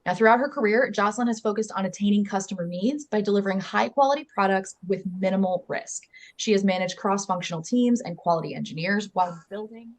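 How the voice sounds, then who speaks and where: A woman hosts a webinar interview over a video call, her voice at a mid pitch. She talks in an upbeat, chatty way and drops in fillers as she goes. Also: quick pace. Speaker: webinar host.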